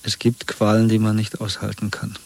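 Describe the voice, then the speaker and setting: A man's voice: on the low side, monotone, normal speed. An actor playing a subdued, broken man released after torture, speaking in terse, resigned phrases in a radio drama. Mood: weary, resigned. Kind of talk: 1970s radio drama acting.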